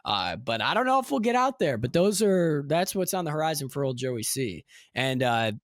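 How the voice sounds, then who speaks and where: A man's voice: a little high, normal speed, no room sound, studio quality. A young man co-hosting a podcast, chatting casually and slipping into mock voices for jokes. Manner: animated, jokey, breaks into mock voices. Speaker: podcast co-host. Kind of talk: casual chat.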